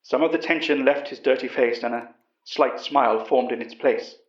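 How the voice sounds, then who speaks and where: A man's voice: mid-range voice, moderate pace, natural voice. A man playing an elderly English professor who earnestly recounts a horror story, in a roomy, slightly dull recording. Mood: reflective. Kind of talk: first-person horror audio drama narration.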